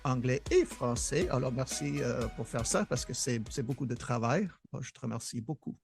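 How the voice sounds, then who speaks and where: A man hosting a casual interview podcast, speaking in a hesitant, halting way. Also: mid-range voice, animated, gravelly, a little mellow, soft background music. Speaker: podcast host.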